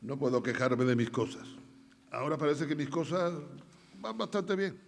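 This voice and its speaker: A man playing a self-satisfied, indifferent gentleman in a radio play, speaking in a formal, complacent way. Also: on the high side, expressive, moderate pace, gravelly, a little hiss or hum. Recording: echoey hall, long reverb.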